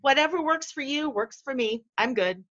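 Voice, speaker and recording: A woman's voice: high-pitched, animated, moderate pace, a bit bright. A woman in her late forties hosting a podcast, chatty and agreeable, with a self-deprecating way of telling stories. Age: 40s. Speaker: podcast host. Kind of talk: podcast interview conversation. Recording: dull lo-fi audio.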